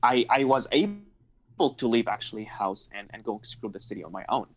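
A man's voice: mid-range voice, animated, brisk. A man from Iraq, a guest telling his life story in an earnest, reflective way and laughing easily. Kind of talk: personal life story in conversation.

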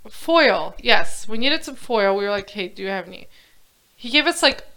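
A woman co-hosting a casual podcast, chatty and quick to laugh, often trailing off mid-sentence, recorded at home on lo-fi gear. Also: mid-range voice, expressive, bright, forceful tone. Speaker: podcast co-host. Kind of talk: casual chat.

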